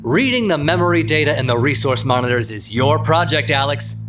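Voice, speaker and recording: A man's voice: a little high, expressive, fairly quick, noisy background, lightly acted. An actor playing a stern, accusing male board member in an audio drama, with a cutting, demanding manner.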